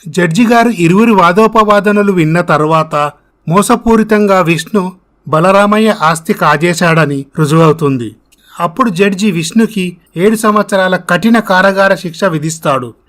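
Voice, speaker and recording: A man's voice: sits high, moderate pace, fairly bright tone. A man reading a scripted moral story as its narrator.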